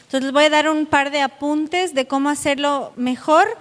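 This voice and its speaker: A woman's voice: high voice, steady tone, moderate pace. A South American woman giving a public lecture, speaking earnestly and directly to her audience. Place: conference hall. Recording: echoey hall with long reverb.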